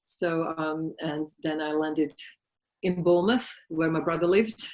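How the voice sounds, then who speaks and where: A middle-aged woman with a Slovak accent talks in a fairly low, chatty voice, full of fillers and little laughs. She speaks English as a second language, and her phrasing shows it. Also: fairly even intonation, unhurried, a little mellow, poor audio. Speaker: guest, massage therapist and business owner. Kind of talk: casual interview chat.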